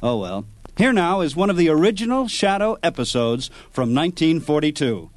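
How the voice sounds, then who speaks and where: A man's voice: a little high, big pitch swings, moderate pace. A man hosting a radio program, reading scripted narration with light asides.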